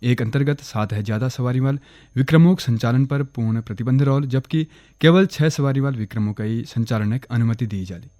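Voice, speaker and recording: A man with a Kumaoni accent reading a regional radio news bulletin in a steady, formal way. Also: medium pitch, brisk, no echo, clean studio sound. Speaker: newsreader. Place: radio studio.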